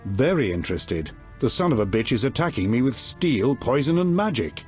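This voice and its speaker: Game monarch: male actor as earthy, authoritative middle-aged king, gruff and profane, animated delivery over faint music. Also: medium pitch, moderate pace, natural voice. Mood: irritated. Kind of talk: video game acting.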